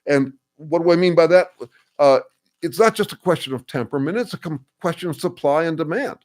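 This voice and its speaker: An older man hosting a casual livestream, thinking aloud with many ums, uhs and restarts as he answers viewers, and describing his own voice as a bass. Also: a little mellow. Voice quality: says he has a bass voice. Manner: thoughtful, halting, full of ums and restarts. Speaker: host answering viewer comments.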